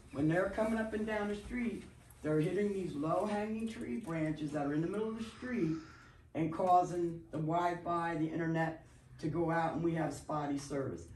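A woman's voice: fairly low pitch, darkish tone, slight background noise. A woman resident making a detailed, insistent complaint during public comment at a council meeting. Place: township council meeting room. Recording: echoey meeting room, far mic.

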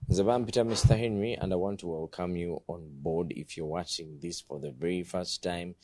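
Deep-voiced Ugandan talk show host. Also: male voice, fairly even intonation, normal speed, darkish tone, dry recording. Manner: upbeat, switches between English and Luganda. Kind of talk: TV studio interview. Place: indoor TV studio set. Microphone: handheld mic.

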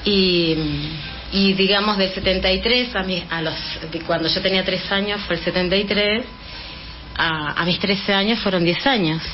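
A woman's voice: mid-range voice, steady tone, moderate pace, muffled recording, soft background music. A woman in her fifties from Chile, giving a casual radio interview and talking hesitantly with many fillers and restarts. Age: 50s. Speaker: interview guest.

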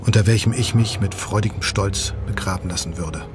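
An actor playing a hopeful, impassioned revolutionary, reading a letter home in a solemn, measured, lyrical way for a documentary. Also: male voice, fairly low pitch, close to an everyday voice. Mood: grave, resolute. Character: hopeful, impassioned male revolutionary writing home to his wife. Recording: loud music bed. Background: music.

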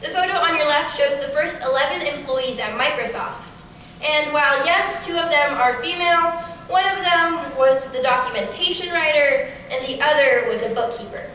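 A teenage girl giving a prepared keynote talk in a large auditorium, with a steady, rehearsed delivery. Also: high-pitched, moderate pace, slight background noise. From Kansas City area. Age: teens. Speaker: keynote speaker. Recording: echoey hall from far off.

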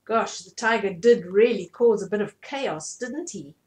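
A woman's voice: medium pitch, expressive, unhurried, darkish tone. A middle-aged woman hosting storytime, reading and explaining a children's story expressively to small children. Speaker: storytime host. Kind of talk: read-aloud children's bedtime story. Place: small furnished room indoors.

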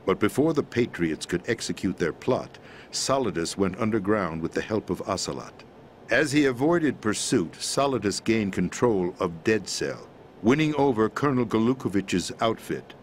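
An actor playing a middle-aged president in a video game, explaining a conspiracy in a measured, grave manner. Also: male voice, lowish voice, lively intonation, a little hiss or hum, natural voice. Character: calm, grave middle-aged man, president briefing via codec. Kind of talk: video game cutscene voice acting.

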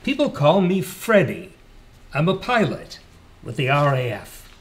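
A man's voice: fairly high pitch, big pitch swings, unhurried. A man voicing a cheerful young British pilot with a British accent, chatty and breezy, in a storybook reading.

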